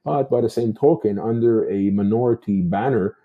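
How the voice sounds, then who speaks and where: A man's voice: fairly low pitch, normal speed, phone-quality sound. A Canadian man giving unscripted political commentary as a talk show host, discursive and repeating himself for emphasis.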